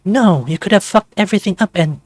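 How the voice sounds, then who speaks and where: A man's voice: sits high, expressive, fairly quick, no echo, faint music behind, pitched above the performer's own voice. A man voicing an angry female furry lab colleague in a visual novel, berating and exasperated. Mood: furious.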